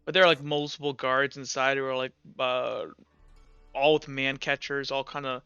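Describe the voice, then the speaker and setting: A man narrating a tabletop game as game master in a casual, halting, conversational way. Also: medium pitch, level tone, sharp tone, clean tone, dry recording. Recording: slightly dull, lo-fi audio. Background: music.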